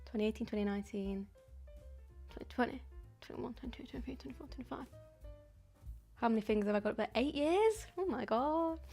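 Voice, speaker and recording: A woman's voice: on the high side, animated, fairly quick, gravelly, faint music behind. A woman, chatting casually and a bit ramblingly while she paints on a live stream. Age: 20s. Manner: chatty and rambling, with frequent ums and soft laughs.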